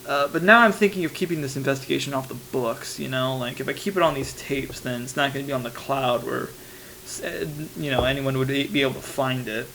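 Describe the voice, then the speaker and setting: A man's voice: medium pitch, fairly quick, pushed and bright. A young man playing an anxious investigative reporter, rambling hesitantly and informally into a private tape diary. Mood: wary, recounting. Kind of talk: analog horror monologue, confessional voice memo. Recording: noisy tape recorder with hum.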